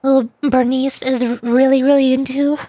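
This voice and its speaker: A woman's voice: high voice, level tone, normal speed, dark tone, no echo. A woman streaming casually, rambling with playful asides as she chats over a game. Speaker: streamer, VTuber. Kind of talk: casual live-stream chat, reading game dialogue.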